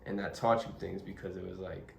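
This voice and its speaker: Low, gravelly, flat-toned interviewer. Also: male voice, fairly quick, slight background noise. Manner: summarizes guest's answers back, leading questions. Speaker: interviewer host. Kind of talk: interview questions. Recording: roomy, distant mic with faint ambience.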